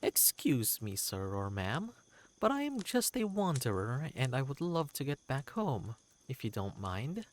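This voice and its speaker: Guy doing a whimsical creature storyteller for a fantasy game, super animated pitch, takes his time, kinda boastful and polite. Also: unhurried. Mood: proud, whimsical. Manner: theatrical, whimsical, courteous. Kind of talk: character voice in a fantasy game reading.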